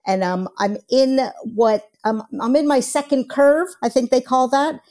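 A woman's voice: high voice, unhurried, mellow. A middle-aged woman with an American accent, a guest chatting in an interview in an upbeat way, with lots of fillers. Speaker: guest, HR professional. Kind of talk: casual interview talk.

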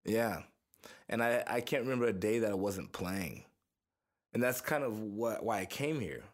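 A man's voice: fairly low pitch, normal speed. Young guy from texas, chill and rambly, swears a lot, talks about his music. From Houston, Texas area. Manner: relaxed, profane, rambling storyteller. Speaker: musician guest. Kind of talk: casual interview chat.

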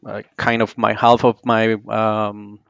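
A man from Colombia with a Colombian accent, speaking English as a second language in a casual interview, halting with many restarts. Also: on the low side, monotone, vocal fry, a bit bright, smooth, no echo, muffled recording. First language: Spanish. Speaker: podcast guest.

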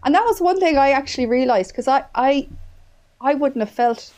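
A woman's voice: high-pitched, lively intonation, moderate pace, darkish tone. A woman in her thirties with an Irish accent, hosting a candid, casual chat and swearing freely. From Ireland. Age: 30s. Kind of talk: casual conversation.

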